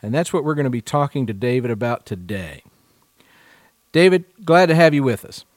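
A man's voice: mid-range voice, expressive, fairly quick, dry recording. Man hosting a podcast, dry close sound. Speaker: podcast host, contributing editor. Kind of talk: scripted podcast introduction and news reading, then interviewing.

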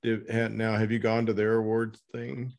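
Very low, creaky-voiced man hosting casually. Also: monotone, normal speed, darkish tone. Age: middle-aged. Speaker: podcast host, book editor. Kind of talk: casual interview chat.

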